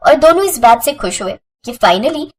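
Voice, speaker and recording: A woman's voice: sits high, expressive, normal speed. A woman narrating a scripted family moral story.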